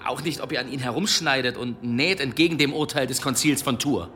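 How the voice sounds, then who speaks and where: Actor as a shrewd, forceful medieval demon hunter. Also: male voice, mid-range voice, brisk, close to an everyday voice. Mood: probing, needling. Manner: probing, pointed, archly polite. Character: shrewd, zealous medieval demon hunter, probing and dryly ironic. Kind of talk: German audio drama acting.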